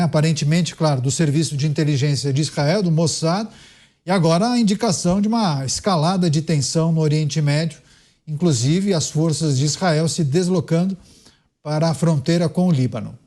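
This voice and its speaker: A man's voice: on the high side, animated, a little fast, darkish tone. A Brazilian man hosting a news show, reading reports and moderating a panel.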